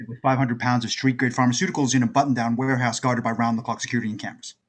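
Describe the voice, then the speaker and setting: A man playing a brisk, confident heist planner in a screenplay table read, matter-of-fact and decisive. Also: mid-range voice, flat delivery, lightly acted. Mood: businesslike.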